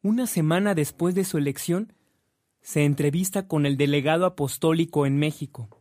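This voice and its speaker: Mexican guy narrating a radio play, scripted, voice a bit high. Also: normal speed, darkish tone, no echo, studio quality.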